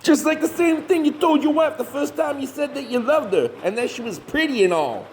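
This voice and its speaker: A shameless Southern hillbilly monster hunter, pitched high and swinging all over in pitch. He is glib, folksy and self-righteous, bossing his kin around in a comedy horror audio play, with faint noise behind him. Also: expressive, a little fast, low-quality recording. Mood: bossy, exasperated. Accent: rural Southern US, hillbilly dialect. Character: bossy, shameless hillbilly monster hunter, scheming older brother.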